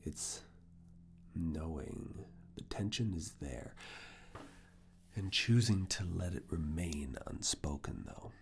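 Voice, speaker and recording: Male ASMR performer, professor role: extremely low, whispered, gravelly, with deliberate, teasingly stern and intimate delivery. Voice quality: breathy whisper mixed with very low creaky murmur. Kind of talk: ASMR personal attention roleplay.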